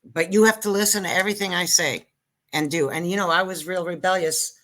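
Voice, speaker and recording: A woman's voice: fairly low pitch, fairly quick, fairly bright tone, poor audio. An older woman born in Israel whose first language was Hebrew, telling her story in a rambling, self-interrupting, joking way as a guest comedian. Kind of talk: casual interview chat.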